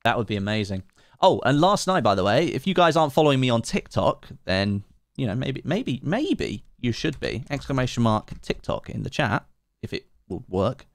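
A man's voice: medium pitch, big pitch swings, normal speed, vocal fry, dry recording, clean studio sound. This is a man in his late twenties, streaming a game and chatting as he plays. His delivery is casual and rambling, and he breaks off to read out chat messages and make wry, sarcastic comments. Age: late 20s. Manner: chatty, reads chat aloud, sarcastic asides.

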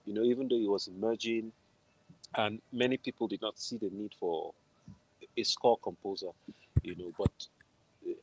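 A man's voice: lowish voice, steady tone, normal speed, long pauses, darkish tone, slightly rough, dry recording, poor audio. A middle-aged man with a Nigerian accent, telling his story casually as an interview guest on a video call. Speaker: interview guest, film composer. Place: indoor room at home, video call. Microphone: wired earphones on a video call.

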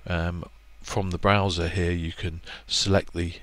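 A man giving a relaxed, plainspoken voice-over walkthrough of a product's setup, with plenty of ums and restarts. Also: low voice, unhurried, no echo. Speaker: product presenter for a marine electronics maker. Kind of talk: casual screen-recorded product walkthrough.

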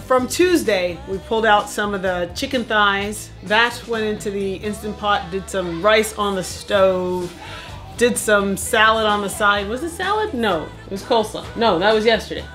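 Chatty mom talking while cooking. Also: female voice, medium pitch, normal speed, fairly bright tone. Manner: chatty, upbeat, laughs often while working. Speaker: vlogger, mom cooking dinner. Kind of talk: casual cooking vlog chat. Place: small home kitchen. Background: music and clattering pots and dishes.

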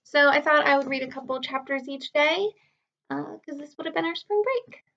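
Young woman, high voice, casual teacher read-aloud, slightly dull webcam sound. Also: fairly even intonation, normal speed, gravelly, bright, forceful tone. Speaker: elementary school teacher reading aloud. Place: small furnished room at home, indoors.

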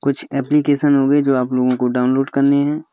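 A young man with an Indian accent walks through a phone app step by step. His voice is mellow and smooth, with little rise and fall. The recording is dry and slightly muffled. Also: medium pitch, level tone, fairly quick, no echo. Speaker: tutorial host.

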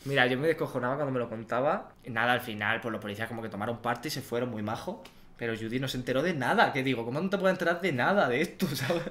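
Un hombre joven de Almería con acento andaluz, copresentador de un pódcast, cuenta anécdotas de forma animada, riendo e imitando voces, en un estudio pequeño con micrófono de mesa. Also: male voice, medium pitch, fast. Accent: Andalusian Spanish. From Almería, Spain. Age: young adult. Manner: animated, laughing often, acting out quotes. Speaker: podcast co-host. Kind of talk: casual storytelling chat. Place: small studio room at a desk. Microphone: desk microphone on stand.

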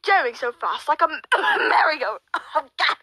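Girl doing a loud-mouthed sweary parody dub, super high bright voice, dry close sound. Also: very animated, normal speed, no echo, low-quality recording. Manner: brash, profane, theatrical. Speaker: amateur voice-over performer. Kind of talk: crude comic dub of a puppet show, sing-song parody.

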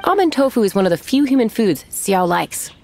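An actress playing a composed, knowing, gently wry woman who runs an inn in a video game. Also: mid-range voice, sing-song, slight background noise. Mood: wry, advising. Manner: measured, knowing, lightly amused.

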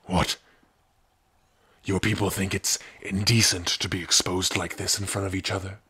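A man playing a big, gruff orc with a rough, husky voice, teasing yet secretly soft-hearted, in an ASMR roleplay. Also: deep voice, level tone, moderate pace, dry recording, exaggerated voice. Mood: teasing, relaxed. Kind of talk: ASMR roleplay addressed to the listener.

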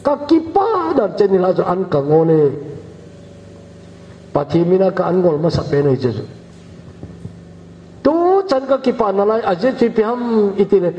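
An older man from northeast India preaching a measured, unhurried sermon as pastor in a church hall. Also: high voice, big pitch swings, mellow. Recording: echoey church hall, dull lo-fi sound, faint hiss.

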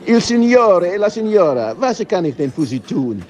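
Italian waiter, high voice, lively intonation, fussy, courteous, comic audio play. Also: male voice, normal speed, highs cut off. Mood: polite, puzzled. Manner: courteous, eager to serve. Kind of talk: comic audio drama acting.

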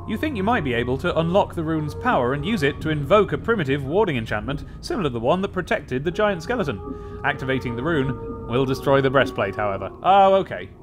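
A man in his thirties giving casual, rambling, playfully whimsical gaming commentary and reading game text aloud. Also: on the high side. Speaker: gaming video host. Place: small home office with desks and monitors. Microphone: boom-arm microphone with pop filter. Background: game music.

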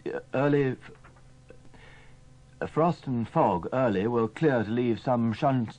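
A man's voice: mid-range voice, animated, takes it slowly, darkish tone. A man reading live announcements as a radio presenter.

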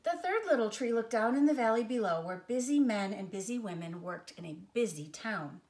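High-voiced woman reading a children's story. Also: animated, moderate pace, darkish tone. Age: middle-aged. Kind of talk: expressive read-aloud story narration. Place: living room armchair, indoors.

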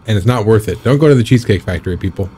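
A man in his thirties chatting casually while live-streaming a driving game, rambling with a slightly raspy, scratchy throat. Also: fairly low pitch, expressive, very fast, dark tone. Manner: rambling, chatty, reads and answers chat.

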